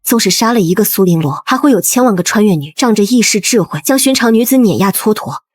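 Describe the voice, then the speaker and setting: A woman acting a proud, husky young noblewoman. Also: medium pitch, expressive, a little mellow, dry recording, studio quality, lightly acted. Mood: resolute. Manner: deliberate, formal, literary phrasing. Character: reborn noble young lady, proud, composed, resolute elder sister. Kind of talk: dubbed animated short drama, scripted.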